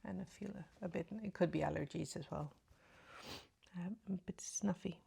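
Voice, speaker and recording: A woman chatting casually through a makeup tutorial, speaking softly and often half-whispering, with a slight cold and a sore throat. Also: lowish voice, fairly even intonation, normal speed, gravelly, slightly rough. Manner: soft, often half-whispered asides while applying makeup. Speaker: beauty vlogger. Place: at home in front of a mirror.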